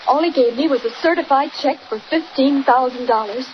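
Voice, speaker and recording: Actress as a high-pitched, distraught young woman. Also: a little fast, natural voice. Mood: worried, regretful. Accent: American. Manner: plaintive, fretful. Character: distraught, trusting young woman, cheated park owner. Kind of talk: 1940s radio melodrama acting. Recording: noisy, muffled 1940s radio recording.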